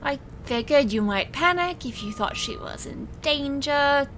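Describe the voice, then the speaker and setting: A woman playing a calm, secretive, dryly protective woman in charge in an audio drama. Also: high-pitched, a little hiss or hum. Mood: guarded, dry. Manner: measured, composed.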